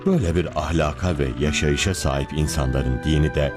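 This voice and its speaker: A man voicing a grave, wise priest in a cartoon, solemn and measured. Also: rumbling low, over music. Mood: solemn, reverent. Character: grave, wise Orthodox priest, courteous and thoughtful. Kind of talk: cartoon voice acting.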